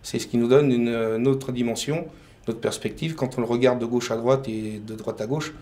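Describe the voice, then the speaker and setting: A man's voice: mid-range voice, steady tone, moderate pace, dark tone. A man from France, a painter and decorator, answering interview questions hesitantly with many fillers.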